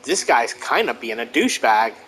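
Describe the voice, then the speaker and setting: A man's voice: medium pitch, very animated, normal speed, fairly bright tone. A man playing a video game and commenting casually as he goes. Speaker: let's play gamer.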